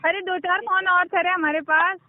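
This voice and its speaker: Woman on a phone call, high voice, sly and dismissive, phone-line sound. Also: steady tone, moderate pace, no room sound. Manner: evasive, teasing, dismissive. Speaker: prank call receiver.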